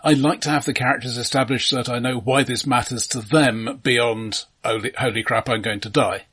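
A man co-hosting a casual film discussion, analytical, with a halting delivery that repeats the starts of words. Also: medium pitch, fairly even intonation, a bit bright, husky. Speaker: film podcast co-host.

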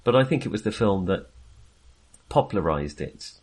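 A man's voice: on the low side, lively intonation, normal speed, a little mellow, husky. A man co-hosting a film podcast, chatting casually in a hesitant, wandering way with self-amused asides.

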